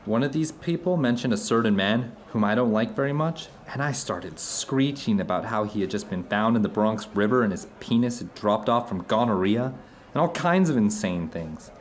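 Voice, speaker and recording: An actor playing an intense, animated friend at dinner, telling stories in an emphatic, rambling, philosophical way in an audio drama. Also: male voice, on the low side, moderate pace, a little hiss or hum, lightly acted. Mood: wounded reflection. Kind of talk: conversational audio drama dialogue.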